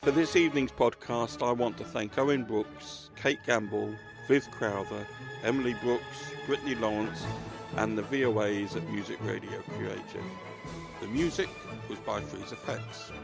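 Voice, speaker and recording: A man speaking as an author and host, talking reflectively about his own books in a measured, deliberate, gravelly and husky voice. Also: fairly low pitch, music in the background, low-quality recording.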